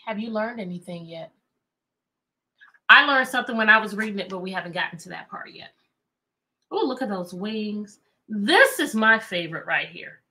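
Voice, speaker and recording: A middle-aged American woman reading aloud to children as a teacher, animated and chatty, often asking questions. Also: mid-range voice, moderate pace, pauses often. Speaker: teacher and read-aloud host. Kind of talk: interactive children's read-aloud lesson. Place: indoor room with bookshelves.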